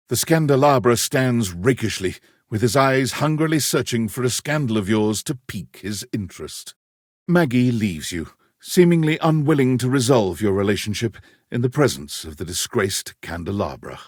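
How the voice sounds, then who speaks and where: A man reading scripted second-person game narration in a theatrical, wry storytelling manner with dramatic pauses. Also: mid-range voice, breathy edge, no room sound, studio quality.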